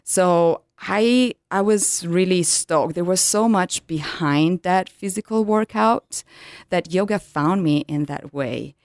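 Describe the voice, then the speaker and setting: A woman in her thirties from Italy with an Italian accent, a yoga teacher telling her story in an interview, pausing often to find words in English. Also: lowish voice, dry recording, studio quality. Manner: hesitant, searching for English words with many ums.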